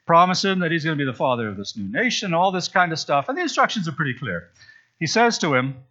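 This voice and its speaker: A man's voice: a little high, big pitch swings, fast talker, sharp tone, muffled recording. A man from Zambia preaching a sermon in a church, chatty and storytelling, with frequent asides. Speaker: pastor. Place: church sanctuary.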